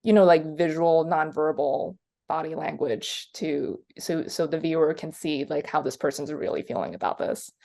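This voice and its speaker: A woman's voice: low voice, moderate pace, creaky, hardly any pauses, mellow. A woman hosting an interview, asking long conversational questions. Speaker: interview host.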